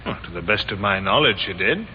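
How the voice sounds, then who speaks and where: An actor playing an outwardly easygoing, evasive English warehouse manager under questioning in an old-time radio crime drama. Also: male voice, fairly low pitch, expressive, fairly quick, slight background noise, lightly acted. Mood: casual, guarded. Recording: muffled, reverberant old radio recording.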